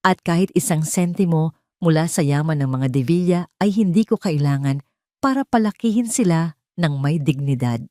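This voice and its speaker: A woman's voice: low-pitched, expressive, normal speed, dark tone, dry recording, clean studio sound. A woman voicing a proud young mother in an audio drama, earnest, polite and firmly defiant. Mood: firm defiance.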